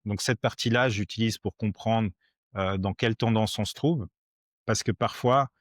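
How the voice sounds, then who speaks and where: A man in his forties from Switzerland, a podcast guest explaining things simply in conversation, haltingly and with repeated words. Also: lowish voice, dry recording, clean studio sound. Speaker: podcast guest, crypto educator.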